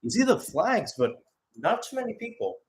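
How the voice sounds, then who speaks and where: A man's voice: sits high, big pitch swings, normal speed, creaky. A man giving live radio-style football commentary as a play-by-play caller, mixing match calls with chatty, conversational asides.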